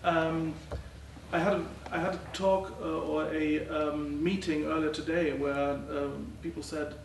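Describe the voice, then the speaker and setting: A middle-aged man giving a conference talk as a professor presenting research, speaking haltingly with many uh and um fillers. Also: fairly high pitch, flat delivery, gravelly. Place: lecture hall. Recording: roomy, distant, faint noise.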